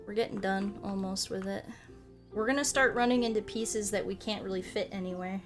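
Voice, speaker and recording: A woman streaming a game, chatting casually in a rambling, halting way with self-corrections and playful, cutesy phrasing. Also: mid-range voice, fairly even intonation. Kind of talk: casual chat while playing a game. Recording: music behind the voice. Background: music.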